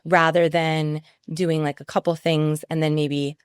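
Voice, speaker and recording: A woman's voice: low-pitched, level tone, unhurried, clear, resonant tone, dry recording, clean studio sound. A woman hosting a podcast and coaching fitness, talking casually and agreeing often. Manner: casual, agreeable, frequent "yeah". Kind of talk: conversational podcast interview.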